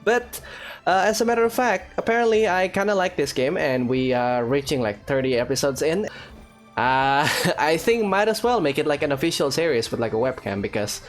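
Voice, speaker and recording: A man's voice: on the high side, expressive, normal speed. A man giving casual, rambling, jokey let's play commentary as a gaming host. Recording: music under the voice. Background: game music.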